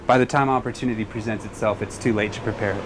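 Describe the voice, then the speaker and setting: A man's voice: on the low side, steady tone, fast talker, noisy background. A cigar lounge owner with an El Paso Texan accent, speaking earnestly in a casual interview and often repeating words as he starts a sentence.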